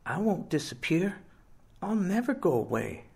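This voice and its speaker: A man voicing a sick teenage boy who speaks softly, just above a whisper. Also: high-pitched, big pitch swings, normal speed, pitched above the performer's own voice. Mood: frail. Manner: soft, near-whispered.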